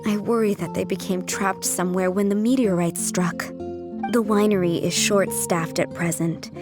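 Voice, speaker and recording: A woman's voice: medium pitch, lightly acted. Courteous housemaid played by a woman, music behind. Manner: courteous, formal hostess speech. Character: polite, composed female head housemaid at winery. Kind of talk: anime-style video game voice acting.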